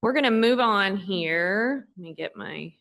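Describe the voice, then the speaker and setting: A woman with an American accent chairing a meeting, briskly keeping the room on schedule. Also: mid-range voice, lively intonation, a bit bright.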